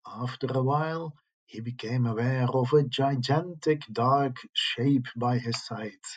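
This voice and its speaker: A man reading scripted tales aloud as a storyteller, with a husky voice. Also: mid-range voice, unhurried, slight background noise. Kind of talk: slow scripted ghost story narration.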